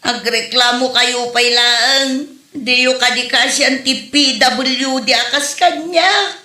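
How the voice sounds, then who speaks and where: A woman's voice: high-pitched, fairly even intonation, takes it slowly, bright, forceful tone, full character voice. A woman with an Ilocano accent playing a stubborn, dramatic, complaining heavyset woman in a comedy drama. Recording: roomy echo, distant microphone.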